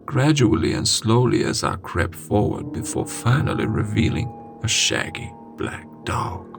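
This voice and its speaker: Low, gravelly man narrating horror. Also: very animated. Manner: dramatic, suspenseful delivery with pauses. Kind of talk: scripted first-person scary story narration. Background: music.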